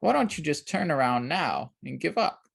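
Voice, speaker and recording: Storybook villain: sinister old man acted by a narrator, drawling, mocking, accusing, gravelly edge. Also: medium pitch, big pitch swings, moderate pace, pitched above the performer's own voice, full character voice. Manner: mocking, taunting with tag questions. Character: sinister sneering old man, shadowy accusing spirit of shame.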